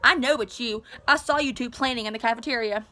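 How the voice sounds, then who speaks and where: A woman's voice: fairly high pitch, quick pace, sharp tone. A woman voicing a hot-headed, guilt-ridden eighth-grade football player in an impassioned storybook read-aloud. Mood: angry accusing. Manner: emphatic, impassioned.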